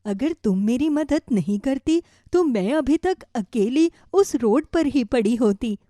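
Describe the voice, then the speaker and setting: Woman as a mellow, kindly old grandmother. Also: high-pitched, lively intonation, measured pace, dry recording, studio quality. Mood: grateful, kindly. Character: grateful, kindly old grandmother, poor strawberry farmer.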